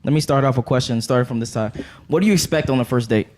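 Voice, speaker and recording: A man's voice: mid-range voice, fast, a little mellow. Casual man hosting over background noise. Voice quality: slangy, informal speech. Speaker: podcast host. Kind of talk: hosting a panel discussion, casual.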